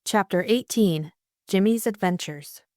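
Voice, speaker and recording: A woman's voice: mid-range voice, a little slow, dark tone, no room sound, clean studio sound. A woman narrating a scripted mystery story as an audiobook reader.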